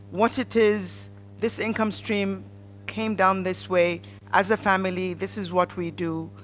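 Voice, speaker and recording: A Ugandan woman speaks on a panel in an unhurried, teaching tone. A faint hum sits under her voice. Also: medium pitch, fairly bright tone. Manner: measured, instructive. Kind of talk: advice talk at a conference panel.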